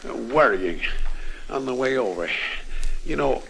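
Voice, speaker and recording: An actor playing an eager, gullible, nervous American gambler in 1950s radio drama, with an American accent and excitable, stammering speech. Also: male voice, medium pitch, unhurried, vocal fry. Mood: worried, hopeful. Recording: noisy old 1950s radio recording.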